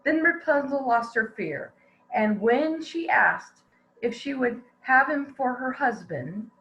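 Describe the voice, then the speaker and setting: A woman reading a fairy tale aloud to children in an expressive storytelling style. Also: high voice, slow, low-quality recording. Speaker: volunteer storybook reader.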